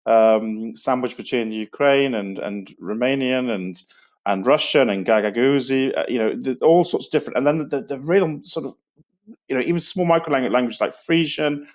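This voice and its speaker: A man's voice: mid-range voice, a little mellow. A middle-aged man, a school leader, giving an enthusiastic, digressive online assembly talk to students.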